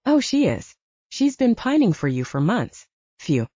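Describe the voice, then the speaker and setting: A woman's voice: mid-range voice, very animated, a little slow, mellow, dry recording, highs cut off. A woman voicing a brash, teasing male werewolf pack leader with a sarcastic, needling manner, in an audiobook. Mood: frank, teasing.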